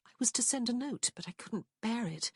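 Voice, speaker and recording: A woman's voice: on the high side, lively intonation, brisk, slightly rough, no echo, clean studio sound, pitched above the performer's own voice. A woman voicing an anxious young lady in love who speaks tenderly and breathily. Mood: confiding.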